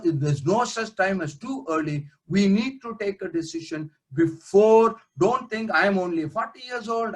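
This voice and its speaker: A man's voice: sits high, husky, poor audio. An older man who is a neurosurgeon, giving an earnest webinar lecture on slides and stressing his points in short listed phrases.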